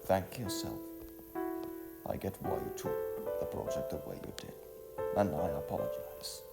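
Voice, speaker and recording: A man playing a young well-off village daughter in a storybook, defensive and remorseful, speaking haltingly. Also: deep voice, expressive, vocal fry, over loud music, full character voice. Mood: apologetic.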